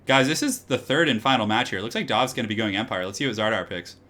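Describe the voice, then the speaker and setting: Slightly raspy, excitable male game streamer. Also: fairly low pitch, fast. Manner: excitable, breaks into loud exclamations. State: voice getting hoarse. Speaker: streamer casting a game tournament. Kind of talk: live game commentary and chat with viewers.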